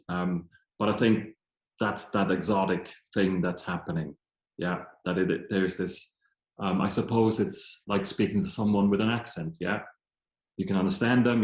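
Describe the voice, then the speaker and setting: A poet from German-speaking Switzerland with a Swiss German accent speaks in English, hesitant and full of ums and restarts, at a public reading event. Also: male voice, low-pitched, roomy sound.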